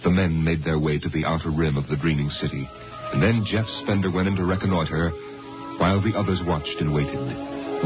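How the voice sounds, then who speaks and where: An actor playing a solemn, portentous storyteller, narrating a 1950s radio drama in a measured, dramatic way. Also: male voice, deep voice, close to an everyday voice. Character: solemn, portentous male storyteller of space tales. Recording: dull old radio recording, loud music.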